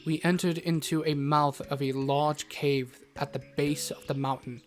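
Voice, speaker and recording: A man's voice: fairly high pitch, steady tone, normal speed. A man narrating a scripted fantasy audio drama in the first person, with formal storybook wording. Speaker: narrator, in character as a travelling doctor. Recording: music bed under narration.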